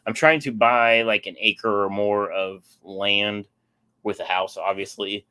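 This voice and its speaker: A man's voice: fairly low pitch, fairly even intonation, a little slow, sharp tone, smooth. A young man casually hosting a live stream, rambling and answering chat, his voice getting sore.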